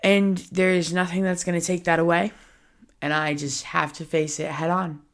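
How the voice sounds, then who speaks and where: A woman's voice: on the low side, level tone, normal speed. A woman in her early twenties hosting a solo podcast, talking candidly and casually with frequent laughs and lots of "like" fillers. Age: early 20s. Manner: chatty, candid, laughs often, many "like" fillers. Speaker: podcast host.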